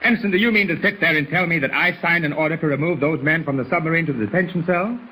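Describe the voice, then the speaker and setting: An actor playing a stern naval base commander in a 1940s radio serial, brisk, clipped and authoritative. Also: male voice, fairly high pitch, pushed and bright, husky. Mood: stern, disbelieving. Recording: slightly noisy 1940s radio recording.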